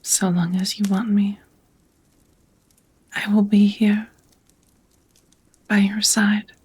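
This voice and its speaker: A woman voicing a devoted, nervous vampire roommate in an intimate ASMR roleplay, whispering softly in a halting, earnest, tender way. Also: medium pitch, little pitch movement. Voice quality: soft, breathy whisper.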